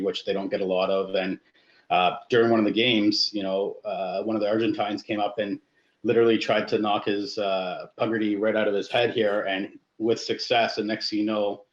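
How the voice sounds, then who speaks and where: A man guest-hosting a panel discussion as a coach, speaking hesitantly with many fillers. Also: fairly low pitch. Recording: echoey, dull lo-fi sound.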